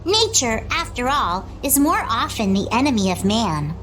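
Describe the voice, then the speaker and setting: A woman's voice: on the high side, very animated, normal speed, a bit bright, soft background music. A woman voicing a plucky young seafaring girl in a video game, with quaint, matter-of-fact phrasing. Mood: thoughtful.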